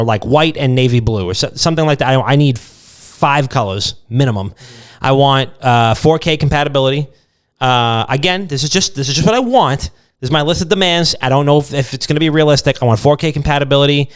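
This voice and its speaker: A man's voice: medium pitch, clean tone. A man hosting a casual gaming podcast, joking irreverently and swearing freely as he makes comic demands. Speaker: podcast host. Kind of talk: casual comedic chat about gaming.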